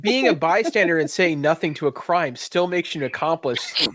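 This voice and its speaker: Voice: medium pitch, animated, normal speed, fairly bright tone. A game master improvising tabletop narration and casual banter in a teasing, profane, dramatic way of phrasing.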